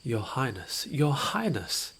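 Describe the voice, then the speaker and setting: A man's voice: mid-range voice, big pitch swings, takes it slowly, a bit bright, a little hiss or hum, heavily acted. A man playing a smug, taunting rogue informant in a fantasy audio roleplay, with a mocking, theatrical drawl. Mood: smug, teasing. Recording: outdoor night ambience with crickets behind. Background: crickets and night nature ambience.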